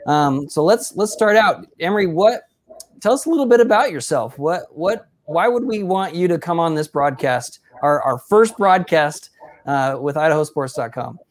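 Guy hosting a sports interview, kinda high voice, super upbeat, gushing. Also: animated, moderate pace. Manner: upbeat and effusive. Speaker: interview host.

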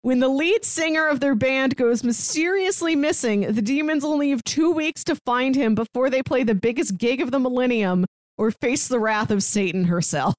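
A woman co-hosting a podcast, chatting casually as she describes and pitches shows. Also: moderate pace, no room sound. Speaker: podcast co-host.

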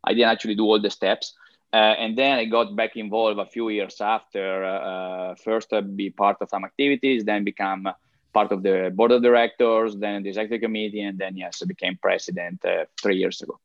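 A man in his forties with an Italian accent, answering interview questions haltingly as he searches for words. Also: on the low side, flat delivery, no room sound, highs cut off. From Italy. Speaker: interview guest, chamber of commerce president.

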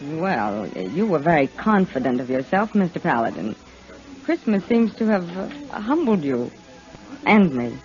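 A woman's voice: low-pitched, big pitch swings, a little slow, darkish tone. An actress playing a coy, genteel, flirtatious lady in a 1950s radio western, with a hesitant manner. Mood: soft, flirtatious. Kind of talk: 1950s radio drama acting. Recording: muffled vintage radio, faint music.

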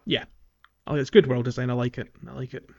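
A man's voice: mid-range voice, fast, creaky. Chatty man on a muffled podcast mic. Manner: chatty, relaxed, many ums and laughs. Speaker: podcast co-host. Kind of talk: casual gaming podcast chat.

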